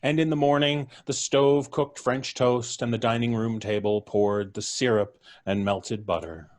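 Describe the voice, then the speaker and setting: A man reads the narration of a story aloud in an even, steady storytelling voice. He speaks at a middle pitch, with nothing unusual in his tone. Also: unhurried. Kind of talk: scripted narration.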